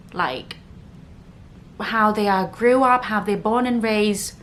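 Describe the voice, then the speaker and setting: A woman's voice: mid-range voice, a little hiss or hum. A woman in her thirties from northern Thailand, a native Thai speaker who hosts a casual solo podcast in English with a Thai accent and a chatty, filler-heavy way of talking. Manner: chatty, leans on frequent "like" fillers. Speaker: podcast host.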